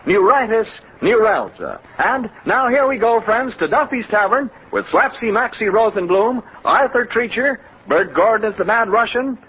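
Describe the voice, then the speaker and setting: A man's voice: high-pitched, sing-song, normal speed, hardly any pauses, breathy edge, full character voice. A man announcing a scripted 1940s radio show in a showy, formal promotional style. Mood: brisk, promotional. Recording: muffled old radio recording.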